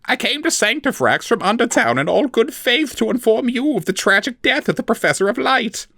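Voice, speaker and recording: A man voicing a small, timid male elf messenger in an audiobook, speaking in a high, quavering voice. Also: big pitch swings, fairly quick, vocal fry, bright, forceful tone, dry recording, studio quality, heavily acted. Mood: frightened, pleading. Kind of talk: audiobook character voice.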